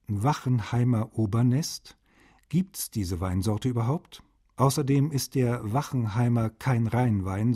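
A man's voice: mid-range voice, mellow, breathy edge. A man playing a friendly, wry translator, reading his letters aloud in a radio feature. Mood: friendly, brisk.

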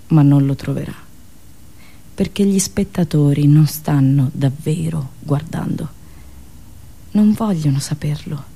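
A woman performing a satirical storytelling monologue, dramatic and ironic, with pauses and drawn-out words. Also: sits low, gravelly, mellow, husky. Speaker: radio host and monologist.

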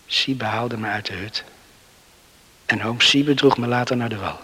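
An actor playing an exhausted young man just rescued and waking up, murmuring dreamily to himself in a hushed, half-whispered radio drama monologue. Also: mid-range voice, husky, rough recording. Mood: relieved, content. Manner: slow, dreamy, musing to himself.

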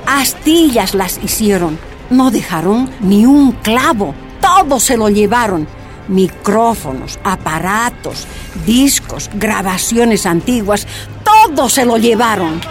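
A woman's voice: mid-range voice, lively intonation, takes it slowly, soft background music. An actress playing an earnest, outspoken miner's wife who tells her story colloquially and emphatically in a radio drama. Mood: indignant.

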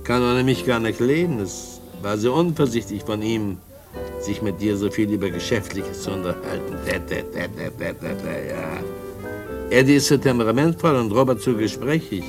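Radio drama villain: low-pitched, smug, cajoling blackmailer with oily politeness, instrumental music underneath. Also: male voice, moderate pace, creaky. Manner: smug, cajoling, mock-courteous, chuckling. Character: sly sinister male blackmailing drug dealer, mockingly polite. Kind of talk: mid-century German radio drama acting.

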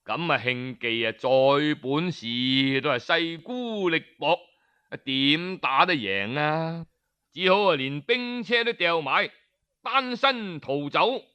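A male Cantonese storyteller with a bright, smooth voice tells an old historical tale for an audiobook. He takes his time, speaking colloquially and expressively, as if straight to his listeners. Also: fairly high pitch, lively intonation, slow. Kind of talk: traditional Cantonese oral storytelling of historical tales, audiobook narration.

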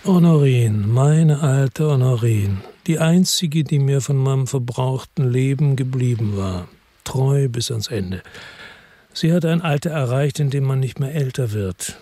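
An actor voicing a brooding, world-weary ex-cop. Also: male voice, medium pitch, unhurried, dark tone, no echo, close to an everyday voice. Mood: reflective. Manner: reflective, laconic first-person narration. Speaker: first-person narrator and lead. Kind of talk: radio drama narration.

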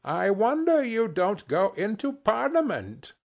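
Storybook miser, played by a male narrator: old, scornful, indignant, dismissive, with a gravelly, animated delivery. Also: fairly high pitch, slow, exaggerated voice. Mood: sternly sarcastic. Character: grumpy, miserly old uncle, sour and scornful.

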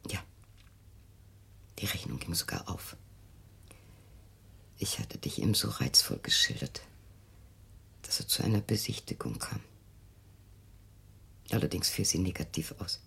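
A defensive mother in an intimate radio play. Her very low voice often sinks into a breathy half-whisper, then swells with wide swings of pitch. She speaks with measured, insistent self-justification. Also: big pitch swings, a bit bright, full character voice. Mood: bitter, subdued. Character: controlling, defensive mother of a fearful grown daughter.

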